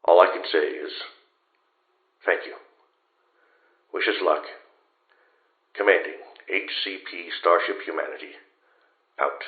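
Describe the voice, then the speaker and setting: An actor playing a sober, caring starship commander in a sci-fi radio play, heard through a radio transmission filter. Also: male voice, low voice, fairly even intonation, slow pace, long pauses. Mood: solemn. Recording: echoey, narrow phone-like radio transmission.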